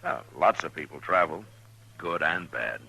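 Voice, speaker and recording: An actor playing a steady, watchful marshal in an old-time radio western, speaking in a measured, probing, laconic way. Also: male voice, rumbling low, vocal fry, breathy edge, full character voice, low-quality recording. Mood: probing, casual. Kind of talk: old-time radio western drama, partly first-person narration. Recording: old radio recording with music.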